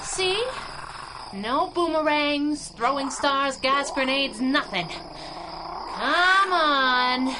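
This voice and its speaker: An actress playing a plucky, flirtatious masked sidekick, wisecracking in a 1930s-style adventure radio drama. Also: female voice, sits high, expressive, drawn-out words, background noise, full character voice. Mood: taunting. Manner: wisecracking, saucy.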